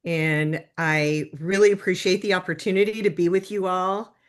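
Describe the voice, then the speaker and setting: A conversational American woman teaches a workshop over a video call, speaking in a slightly low register. She chats easily and keeps turning questions back to her listeners. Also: steady tone, moderate pace. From Detroit area, United States. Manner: chatty, asks the audience questions. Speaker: workshop leader and business consultant. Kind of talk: conversational online workshop talk.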